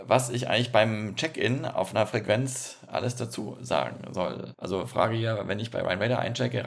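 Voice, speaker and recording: A very deep-voiced man with a heavily gravelly, fry-laden tone hosts a podcast. He reads out listener questions and explains the technical terms as he goes. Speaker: podcast host. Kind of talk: casual podcast chat.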